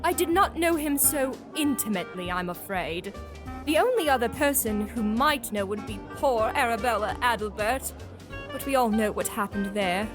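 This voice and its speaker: Audio drama society lady played by a woman: British, coy, refined, gossipy, fairly high pitch, music bed. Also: animated, normal speed, close to an everyday voice. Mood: confiding, disdainful. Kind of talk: scripted audio drama acting. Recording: music behind the voice.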